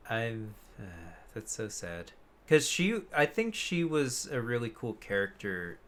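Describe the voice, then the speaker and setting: Blunt, casual man, medium pitch. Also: very animated, a little slow. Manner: blunt, swearing, exasperated fan talk. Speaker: podcast co-host. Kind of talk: casual chat about films and games.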